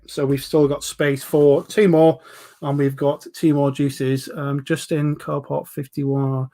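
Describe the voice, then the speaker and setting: A man's voice: on the high side, vocal fry, a little mellow. Chatty London man in his forties. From England. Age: 40s. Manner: chatty, rambling, full of ums, banters with viewers. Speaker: livestream host reviewing vape juices. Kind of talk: casual live chat reading viewer comments.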